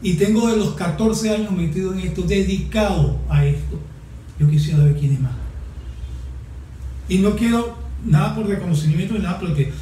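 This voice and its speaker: Un hombre venezolano de más de sesenta años que habla en un panel con énfasis, recalcando y repitiendo palabras. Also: male voice, sits high, warm tone. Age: 60s. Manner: emphatic, deliberate with stressed repetition. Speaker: guest, environmental activist. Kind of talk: panel discussion, impassioned speech. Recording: echoey room with faint background noise.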